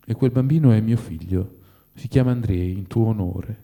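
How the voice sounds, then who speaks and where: A man's voice: on the low side, moderate pace, vocal fry, warm tone. A man voicing a resigned, ashamed man withdrawn from the world, speaking in a subdued, tender, supplicating manner in a literary story. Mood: quiet confession.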